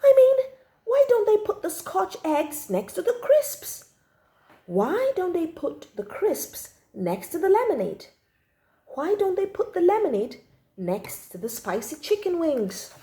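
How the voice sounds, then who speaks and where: A woman voicing an excitable, indignant little girl from a storybook, with emphatic, exaggerated phrasing. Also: very high voice, a little slow, voice pushed up, heavily acted. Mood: indignant, exasperated. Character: excitable, indignant little girl telling her own troubles. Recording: echoey room.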